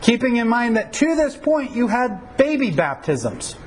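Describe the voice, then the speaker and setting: A man with a notably high voice leads a church history class as its pastor-teacher. He talks informally, tossing out rhetorical questions and fillers as he goes. A faint hiss sits under the recording. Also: big pitch swings, a little slow. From United States. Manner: conversational, asks rhetorical questions, frequent fillers.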